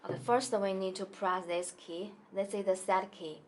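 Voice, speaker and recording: A woman demonstrating a product in a small indoor studio, talking haltingly with many fillers and restarts. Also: mid-range voice, level tone, a little hiss or hum. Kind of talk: hesitant product tutorial.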